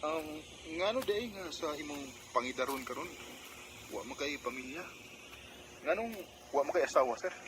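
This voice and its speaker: Male actor as respectful young student, hesitant, Cebuano accent, higher voice, noisy radio drama recording. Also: animated, moderate pace, pauses often, lightly acted. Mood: hesitant, curious. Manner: hesitant, deferential. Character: shy, respectful young male student, former construction worker.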